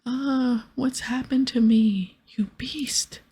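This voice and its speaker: A woman voicing a scheming, self-justifying male wizard from a fantasy game in a breathy whisper. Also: high-pitched, fairly even intonation, takes it slowly, warm tone, pitched above the performer's own voice, heavily acted. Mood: alarmed. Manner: pleading, then dryly explanatory.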